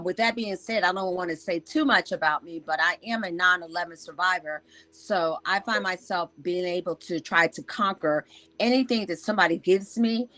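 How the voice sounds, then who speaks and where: A woman's voice: fairly low pitch, sharp tone. A woman from Liberia, a reverend, introducing herself earnestly on a video call as a panelist. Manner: earnest, testimonial tone. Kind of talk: casual self-introduction.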